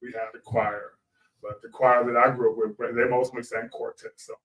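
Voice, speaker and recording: A man's voice: medium pitch, level tone, normal speed, a bit bright, recorded from a distance, low-quality recording. A man from Arkansas answering interview questions casually as a musician guest, polite, understated and a little hesitant. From Arkansas, southern United States. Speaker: guest musician, gospel singer and organist.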